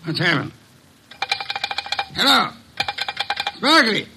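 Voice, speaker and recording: An actor in a classic radio drama playing a pompous, grumbling, imperious detective with a gravelly voice and precise, orotund diction. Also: male voice, high voice, very animated, slow pace, exaggerated voice. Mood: agitated. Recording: noisy old radio show recording.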